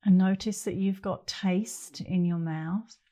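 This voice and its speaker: A middle-aged woman leading a guided meditation as an instructor, speaking gently and leaving long pauses between phrases. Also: fairly low pitch, steady tone.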